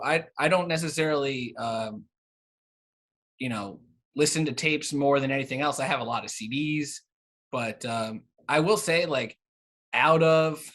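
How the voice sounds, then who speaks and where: A man's voice: a little high, fairly bright tone. A man in his thirties being interviewed in a casual, conversational way, with hesitant, rambling phrasing. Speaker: interview guest, cassette exchange organiser.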